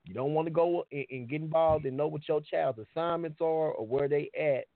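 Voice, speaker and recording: A man's voice: fairly high pitch, a little fast. A man co-hosting a casual talk show, speaking frankly and critically. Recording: tinny phone-like line.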